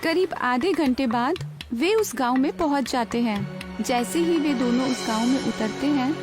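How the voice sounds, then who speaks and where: Casting: female storyteller, high voice, scripted narration over background music. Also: expressive, measured pace.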